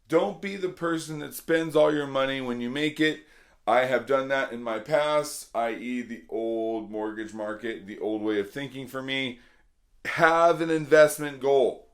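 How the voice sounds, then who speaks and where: A middle-aged man with a slightly hoarse voice, talking earnestly and casually to his viewers as a vlog host, repeating points for emphasis. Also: medium pitch. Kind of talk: casual motivational talk to camera.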